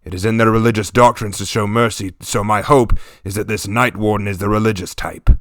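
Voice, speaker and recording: A man voicing a stern, gruff warlord chieftain speaking to his daughters, grave and commanding. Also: fairly low pitch, steady tone, no room sound, clean studio sound, lightly acted. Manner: commanding, grave, slow and weighty.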